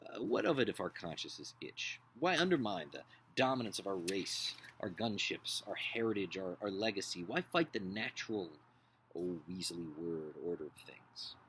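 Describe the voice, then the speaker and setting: A man playing an earnest, idealistic upper-class nineteenth-century gentleman in a dramatic book reading, solemn, deliberate and oratorical. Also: low voice, very animated, a little hiss or hum, full character voice, rough recording. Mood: reflective.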